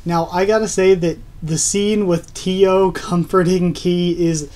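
A man's voice: high voice, fairly even intonation, takes it slowly, darkish tone. A young man in his twenties with a stuffed-up, nasal voice, casually reviewing a manga chapter on camera in a small room, rambling enthusiastically with lots of fillers. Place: small room at home, indoors.

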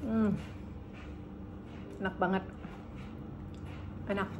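A woman in her thirties from Indonesia, chatting casually through a food review and humming while she eats, filmed in a bright living room by a camera on the table. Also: mid-range voice, little pitch movement, drawn-out words, phone-quality sound, a little hiss or hum. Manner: chatty, savoring, hums while eating. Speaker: food vlogger. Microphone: camera on table in front.

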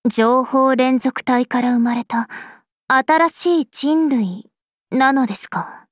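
A woman playing a regal, serene vampire princess of a video game, speaking formally and with measured poise. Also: high-pitched, dry recording, studio quality. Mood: serene, pensive. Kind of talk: scripted mobile game character voice lines.

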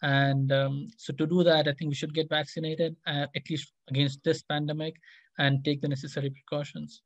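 A man's voice: on the high side, little pitch movement, moderate pace, dry recording. A man speaking as a physician on a video call, somber and reflective. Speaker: nephrologist. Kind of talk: panel discussion.

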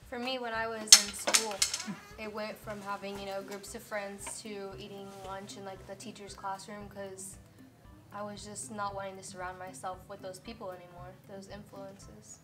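A woman's voice: medium pitch, level tone, moderate pace, distant mic, over music. A woman of twenty-two speaking plainly and evenly as a panel guest. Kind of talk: casual testimony. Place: small wood-paneled studio room.

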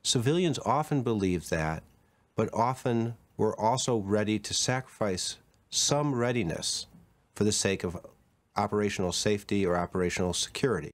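A man's voice: lowish voice, expressive, normal speed. A man giving analytical commentary as an interviewed expert.

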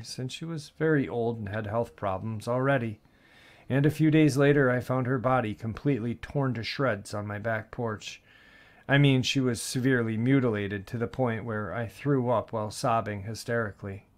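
A man calmly reading out listeners' first-person stories of frightening encounters. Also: mid-range voice. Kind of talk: steady storytelling narration.

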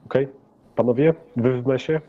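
A man's voice: mid-range voice, steady tone, moderate pace, phone-quality sound. A man running a tabletop role-playing game as the game master.

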